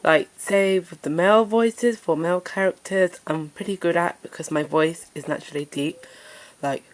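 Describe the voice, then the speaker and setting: Woman, very low deep voice, nervous casual host introducing her story channel, lots of fillers. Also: moderate pace, rough recording. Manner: nervous, hesitant, many fillers. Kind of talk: casual self-introduction to camera.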